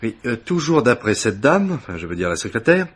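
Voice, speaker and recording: A man's voice: medium pitch, very animated, brisk, husky. A man acting an eager, deferential police assistant in a French radio mystery play, explaining his deductions with proud, methodical emphasis. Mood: keen, insistent.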